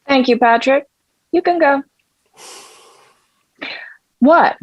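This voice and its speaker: Sardonic sultry bartender, impatient and pointed, very dry recording. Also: female voice, high-pitched, slow pace, dry recording, dull audio. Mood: exasperated. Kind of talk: table read performance.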